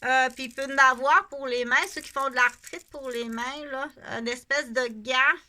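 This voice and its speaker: This is a middle-aged woman with a Québécois accent, speaking unscripted to her viewers. Her voice sits high and has a sharp, bright edge. She rambles from point to point and repeats phrases for emphasis. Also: fairly even intonation, moderate pace. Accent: Québécois French. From Canada. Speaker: vlogger. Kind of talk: casual unscripted monologue to camera.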